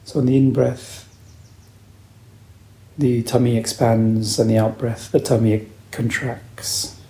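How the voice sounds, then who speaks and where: A man leading a guided meditation as a teacher, calm, unhurried and soothing in his instruction. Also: mid-range voice, gravelly, echoey room.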